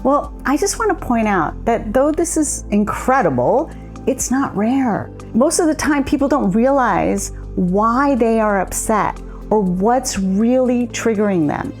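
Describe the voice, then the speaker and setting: A woman co-founder giving a measured, explanatory account in a scripted promotional conversation. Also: a little high, animated, faint music behind. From immigrant. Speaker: co-founder of a coaching method.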